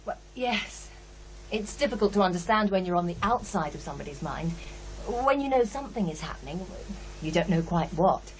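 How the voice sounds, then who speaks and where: An actress playing a candid, sceptical psychiatrist in a radio drama, with a hesitant, thoughtful way of talking. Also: female voice, lowish voice, expressive, moderate pace, a little hiss or hum, close to an everyday voice, poor audio. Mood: hesitant, confiding. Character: brisk, candid female psychiatrist, sceptical yet unsettled. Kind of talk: naturalistic radio drama dialogue.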